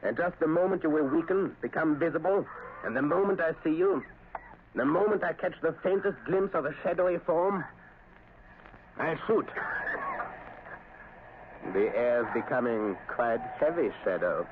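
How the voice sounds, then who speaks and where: A suave menacing doctor. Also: male voice, a little high, lively intonation. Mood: gloating. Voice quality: smooth, deliberate villain delivery. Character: scheming male saboteur doctor, suave and menacing. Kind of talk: 1930s radio drama acting. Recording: tinny old radio recording, hiss.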